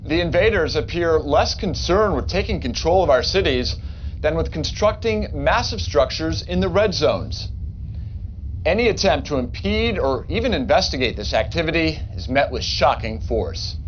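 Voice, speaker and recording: An actor playing a polished, serious news anchor with a measured, formal delivery in a game cutscene. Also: male voice, a little high, animated, natural voice. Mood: grave, probing. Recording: dull lo-fi audio, faint noise.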